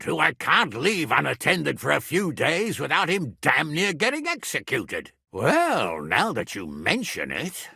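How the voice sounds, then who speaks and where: Blustery bossy middle-aged lord for a video game, gravelly, forceful, super dry recording. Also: male voice, a little high, no echo, full character voice. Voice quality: gravelly, raspy. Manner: gruff, commanding, scolding. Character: blustering, irascible medieval nobleman, guardian of his ward. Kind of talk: dramatic video game character dialogue.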